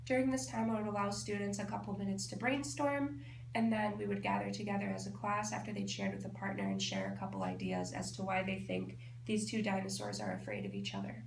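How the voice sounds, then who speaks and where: A woman with a mid-range voice reads a children's story aloud as a teacher would. She is expressive and explanatory, thinking aloud for young listeners. The room is echoey, with faint noise behind her. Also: mid-range voice, brisk, gravelly.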